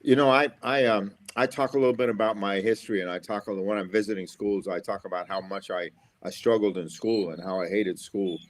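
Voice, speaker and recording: A man's voice: lowish voice, fairly even intonation, a little fast, hardly any pauses. A middle-aged American man from Florida, an engineer and school speaker, giving upbeat, motivational interview answers and repeating his key phrases. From USA, Florida.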